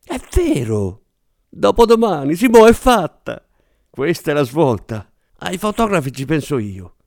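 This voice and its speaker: A man voicing a brisk, scheming female showbiz agent who talks in a coaxing way. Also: a little high, big pitch swings, creaky, a little mellow, dry recording, clean studio sound, voice pushed up, full character voice.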